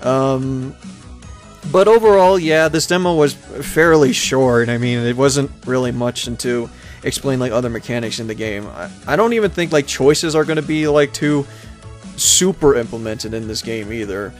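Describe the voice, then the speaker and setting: A man's voice: medium pitch, moderate pace. A young man giving casual, hesitant, rambling gameplay commentary as a let's player, easily amused by what he plays. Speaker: video game let's player. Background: game music.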